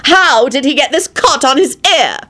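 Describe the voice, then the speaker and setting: A narrator plays a high-pitched, frantic woman in an audiobook. She is doting and stubborn, given to shrill melodramatic wailing, her pitch leaping about. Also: lively intonation, fast talker, a bit bright. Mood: accusing.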